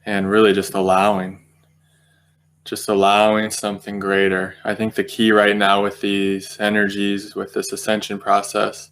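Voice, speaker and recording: A man with a low voice guides a meditation in a very flat, even tone. He speaks gently and unhurriedly, leaving long pauses between phrases as he finds his words. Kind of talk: guided meditation, unscripted spiritual talk.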